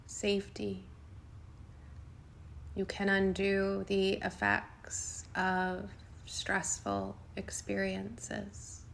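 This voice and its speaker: A woman guiding a yoga nidra meditation in a soothing, unhurried way, speaking in short phrases with long pauses. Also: mid-range voice, vocal fry, slight background noise. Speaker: meditation guide.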